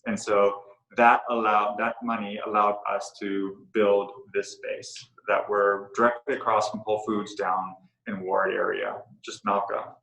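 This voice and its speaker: A middle-aged man, the co-founder of a coworking space, talking casually and haltingly with many fillers and restarts. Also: lowish voice, level tone, gravelly, sharp tone. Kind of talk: casual talk on a virtual tour. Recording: echoey room.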